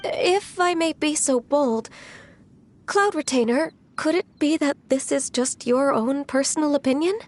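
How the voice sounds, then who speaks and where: A woman voicing a gentle, earnest young woman in a video game, speaking in a polite, sincere way. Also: high voice, measured pace. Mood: polite, tentative.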